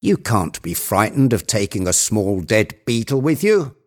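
A man voicing an excited, bossy, obsessive character who gives urgent orders in an agitated storybook tale. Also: fairly low pitch, moderate pace. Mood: insistent. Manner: imperious, agitated, exclaiming.

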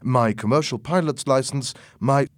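A man's voice: mid-range voice, moderate pace, no echo, clean studio sound, voice pushed up, lightly acted. A man voicing a nervous, eager young man, speaking hesitantly. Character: nervous tall young man at a job interview.

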